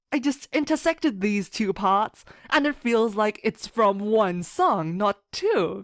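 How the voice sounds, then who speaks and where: A woman chatting casually as a podcast guest, telling stories in an animated way and acting out quotes. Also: on the high side, no room sound, studio quality. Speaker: podcast guest, fan musician and writer. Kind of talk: casual chat.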